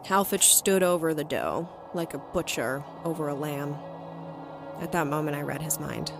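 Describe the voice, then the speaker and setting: A woman's voice: sits low, moderate pace. An actress playing a tough, sardonic young woman who talks bluntly and narrates her own story in an audio drama. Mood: ominous narration. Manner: wry, blunt, foul-mouthed. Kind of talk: audio drama dialogue and first-person narration. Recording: music bed under the voice.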